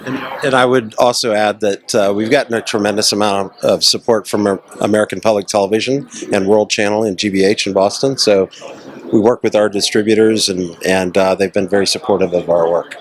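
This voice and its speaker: A man who co-hosts a travel show, speaking in a measured, polite way on a red carpet. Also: fairly low pitch, fairly even intonation. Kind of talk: red carpet interview answers.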